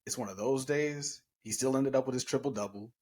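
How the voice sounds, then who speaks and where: A man on a casual sports talk show, cracking jokes about players as he talks. His voice is husky and a bit raspy at mid pitch. He talks loose and playful. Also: fairly quick, darkish tone. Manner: joking, trash-talking. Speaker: podcast co-host.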